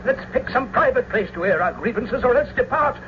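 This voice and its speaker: A man's voice: extremely high pitch, lively intonation, fairly quick. An actor playing a sensible, cautious young nobleman in a radio Shakespeare drama, speaking with urgent, pleading delivery. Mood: cautious, worried. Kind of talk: old-time radio Shakespeare acting. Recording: noisy, muffled old radio recording.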